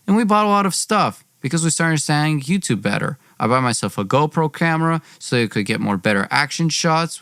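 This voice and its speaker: A man's voice: mid-range voice, a bit bright, clean tone, no echo, clean studio sound. A young man hosting a podcast, telling a personal story dramatically in an informal, wry way, acting out dialogue. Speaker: podcast host.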